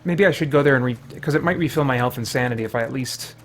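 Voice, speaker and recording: A man's voice: mid-range voice, fast, a little hiss or hum. A man hosting a video game livestream with casual, rambling commentary, breaking off mid-thought to react to the game and chat.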